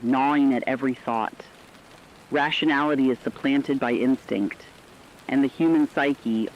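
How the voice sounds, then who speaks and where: A man's voice: medium pitch, natural voice. A man as a muffled, somber radio disaster reporter. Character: somber radio news analyst describing human toll of apocalypse. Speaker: news reporter. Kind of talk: scripted dramatic news narration. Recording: dull lo-fi radio sound, rainy hiss.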